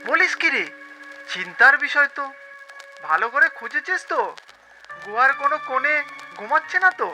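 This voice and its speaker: A man's voice: extremely high pitch, sing-song, moderate pace, full character voice, rough recording. A man voicing a thoughtful, concerned jackal in a cartoon, questioning like an elder brother. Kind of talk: cartoon voice acting. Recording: loud music behind the voice.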